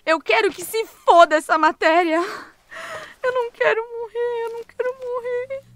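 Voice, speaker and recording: Audio drama: actress as emotional young female journalist, frightened and defiant, high bright voice. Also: steady tone, moderate pace, no echo. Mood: panicked, distraught. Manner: emotional, pleading, swearing.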